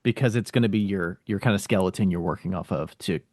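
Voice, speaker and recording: A man's voice: sits low, fast, a little mellow, no echo, clean studio sound. A man hosting a podcast, asking questions in a casual, conversational way. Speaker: podcast host interviewing a guest.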